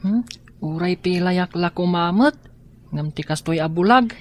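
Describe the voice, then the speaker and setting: A woman with an Ilocano accent playing a gentle, grateful child in a radio drama. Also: lowish voice, measured pace, lightly acted.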